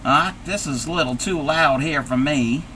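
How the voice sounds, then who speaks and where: A man's voice: a little high, moderate pace, sharp tone, slight background noise. A man voicing a folksy, befuddled old grandmother who is confused by technology, talking with old-fashioned exclamations on a live stream. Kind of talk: live stream character play.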